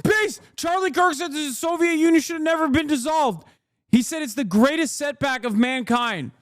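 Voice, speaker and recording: A man streaming live and reacting to a debate clip, joking and laughing often, dropping to low mutters and jumping to loud high outbursts. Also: normal speed, dry recording, clean studio sound. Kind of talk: casual reaction commentary.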